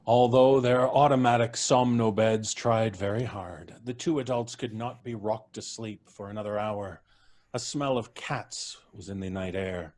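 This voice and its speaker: A man narrating a story in an even, storytelling manner at a live reading. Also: fairly low pitch, measured pace. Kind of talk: scripted narration.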